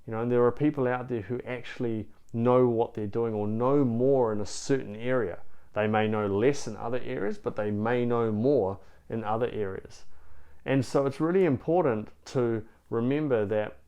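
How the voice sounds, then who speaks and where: Kiwi guy giving relationship coaching to camera, earnest and encouraging, smooth clean voice, mid pitch. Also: moderate pace, dark tone, muffled recording. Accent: New Zealand. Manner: earnest and encouraging, frequent "you know" fillers. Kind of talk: direct-to-camera coaching talk.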